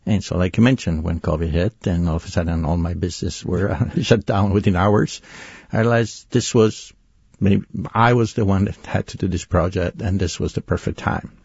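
Italian guy being interviewed, low husky voice, thoughtful, bit dull radio sound. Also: normal speed, darkish tone, no room sound. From Italy. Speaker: interview guest, photographer.

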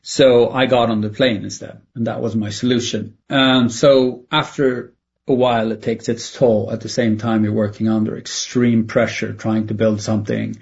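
Gravelly, even-toned Swedish man. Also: on the low side, normal speed, muffled recording. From Sweden. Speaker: tech entrepreneur interviewed as a guest. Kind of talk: conversational interview answers.

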